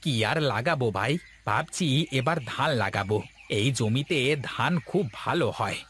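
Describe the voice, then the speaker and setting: A man's voice: mid-range voice, expressive, moderate pace, fairly bright tone, a little hiss or hum, close to an everyday voice. A man voicing an agreeable, easily swayed village farmer in a cartoon, talking plainly. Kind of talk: cartoon voice acting.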